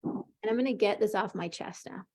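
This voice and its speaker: A woman coaching an emotional tapping session, calmly leading her client through short phrases to repeat, in an encouraging way. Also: medium pitch, normal speed. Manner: calm, encouraging, leads with short prompts.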